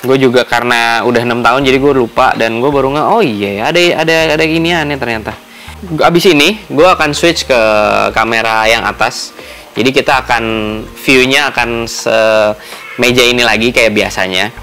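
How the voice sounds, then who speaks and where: A man's voice: mid-range voice, moderate pace, pushed and bright. A man with a colloquial Jakarta Indonesian accent, chatting informally as he explains and unboxes gear, thinking aloud as he goes. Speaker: motovlogger, product unboxing host. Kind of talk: casual unscripted unboxing and tutorial chat.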